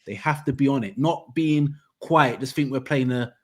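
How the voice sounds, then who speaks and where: A man's voice: mid-range voice, moderate pace. A man from hosting a casual football debate, rambling and restarting his sentences as he argues. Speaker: football podcast host.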